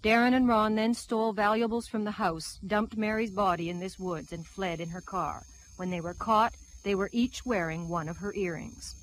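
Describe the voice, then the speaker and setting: A woman reading scripted television news documentary narration as a reporter, in a measured, dramatic storytelling manner. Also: medium pitch, fairly even intonation, rough recording.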